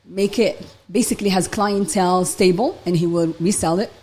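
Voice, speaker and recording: Low, mellow woman from Morocco. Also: moderate pace, husky. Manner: chatty, loose storytelling with many "like" and "um" fillers. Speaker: guest, nail salon owner. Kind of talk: casual interview chat.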